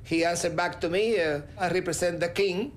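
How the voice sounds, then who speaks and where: An older man, an investigator recalling events in an interview, telling the story lively with quoted dialogue. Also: high voice, normal speed. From Cuba. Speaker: air crash investigator. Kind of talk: interview recollection.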